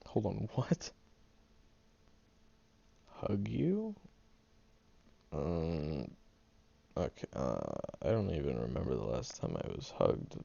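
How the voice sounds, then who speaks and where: A prickly young man who hides his soft side behind grumbling, played by a man in an audio roleplay. His deep, gravelly voice swings widely up and down as he hesitates and teases. The close recording sounds dull and lo-fi. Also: huge pitch swings, takes it slowly, long pauses, exaggerated voice. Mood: awkward. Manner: grumbling, hesitant, awkwardly tender. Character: prickly gruff young man, secretly caring friend.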